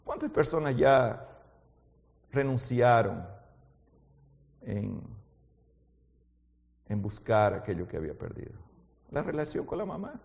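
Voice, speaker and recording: A man preaching a sermon in a language that is not his first one, as his native Portuguese slips in. He shifts between quiet, intimate talk and loud, fervent exhortation, and keeps asking his listeners questions. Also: medium pitch, sing-song, slow, vocal fry, long pauses, big-room sound, muffled recording. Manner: asks the audience frequent questions.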